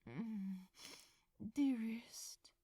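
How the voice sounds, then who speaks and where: A woman voicing a sleepy, affectionate man who mumbles softly to his lover in a narrated story. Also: on the high side, very slow, husky, no echo, studio quality, exaggerated voice. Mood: drowsy. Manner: soft, drowsy mumbling.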